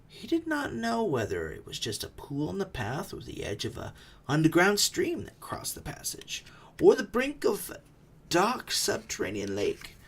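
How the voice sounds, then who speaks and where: A storyteller giving a dramatic, suspenseful reading of a book, with phrases often falling away into a hush. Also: mid-range voice, normal speed, gravelly, breathy edge. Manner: expressive, suspenseful, hushed phrase endings. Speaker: narrator. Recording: faint background noise.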